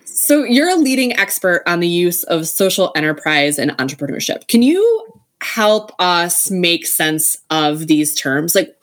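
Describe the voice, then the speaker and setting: Low-voiced woman podcast host. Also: very animated, a little slow. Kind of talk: reading an introduction, then interviewing.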